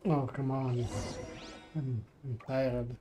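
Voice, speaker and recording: A man's voice: medium pitch, flat delivery, slow pace, music in the background. A man chatting casually on a gameplay stream, hesitant and muttering to himself. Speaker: live streamer.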